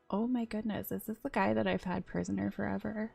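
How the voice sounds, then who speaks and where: Woman, game streamer, very gravelly, breathy, sniffly, half-whispered asides, faint music behind. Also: mid-range voice, fairly even intonation, normal speed, dry recording. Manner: chatty, drifting into soft whispered asides. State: sniffly, runny nose. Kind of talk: casual gameplay commentary.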